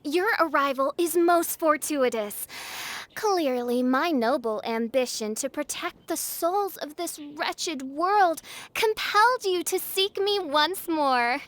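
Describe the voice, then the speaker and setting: A woman's voice: sits high, takes it slowly. A woman voicing a haughty teenage self-styled princess in a video game, theatrical and pompous, with archaic, flowery speech. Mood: grandiose.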